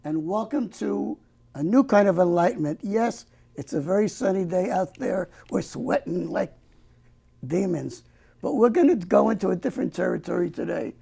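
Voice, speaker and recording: A man's voice: high voice, lively intonation, moderate pace, gravelly, dark tone, breathy edge. An older man hosting a local TV talk show, folksy and prone to joking asides. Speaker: host.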